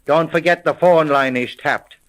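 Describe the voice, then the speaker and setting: An actor playing a brusque, wary American police sergeant in a 1930s radio detective drama, with clipped, slangy, commanding speech. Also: male voice, on the high side, moderate pace, fairly bright tone, natural voice. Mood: urgent, commanding.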